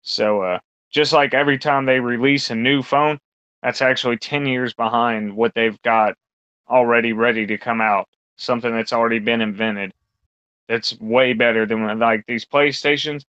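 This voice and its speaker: A man's voice: medium pitch, fairly even intonation, moderate pace, fairly bright tone, smooth, dry recording. A man co-hosting a podcast, rambling through a casual, crude chat full of fillers and swearing. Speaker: podcast co-host. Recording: dull lo-fi sound.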